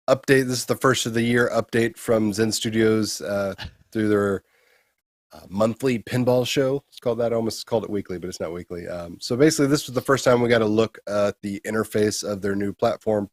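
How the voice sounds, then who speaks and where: A man with a fairly low voice co-hosts a casual gaming news show. He explains updates conversationally, and the recording is dry and close. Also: fairly quick, no room sound. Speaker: co-host presenting gaming news.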